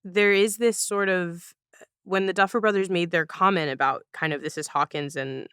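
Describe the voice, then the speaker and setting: Female podcast host, clear smooth mid-range voice, dry close recording, thoughtful conversational delivery. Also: lowish voice, steady tone, normal speed, fairly bright tone, dry recording.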